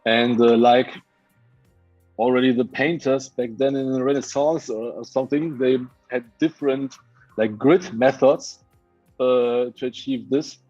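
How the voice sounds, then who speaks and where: A man from Hungary with a Hungarian accent, a muralist guest on a video call, speaking haltingly with many restarts as he searches for words. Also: mid-range voice, fairly even intonation, darkish tone, dry recording, lo-fi sound, soft background music. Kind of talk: casual interview chat. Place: home, remote video call.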